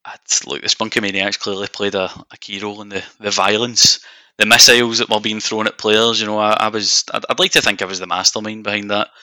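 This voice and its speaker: A man's voice: lowish voice, flat delivery, brisk, pushed and bright, no room sound. A young man with a Scottish accent, speaking as a podcast guest and football fan in casual chat. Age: young adult.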